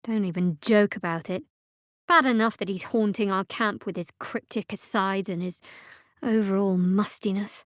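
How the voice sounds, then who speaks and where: A guarded young woman cleric from a fantasy video game, played by an actress. Her mid-to-slightly-high voice is reserved and wry, with a sardonic edge. The sound is close and free of room echo. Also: moderate pace, natural voice. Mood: wry, teasing. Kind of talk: video game character voice acting.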